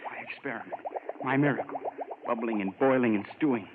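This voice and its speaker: An actor playing an obsessed, unhinged young student scientist in a feverish, melodramatic radio horror style. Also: male voice, medium pitch, brisk, natural voice. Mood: gloating, intense. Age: young adult. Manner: feverish, melodramatic, muttering to himself. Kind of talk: 1940s radio horror acting. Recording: noisy, narrow-band vintage radio recording.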